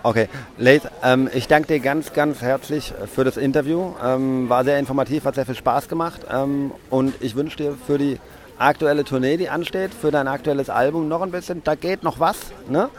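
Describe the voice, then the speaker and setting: A man's voice: mid-range voice, normal speed. Hesitant man interviewer outdoors. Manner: hesitant, many fillers. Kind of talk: casual interview questions.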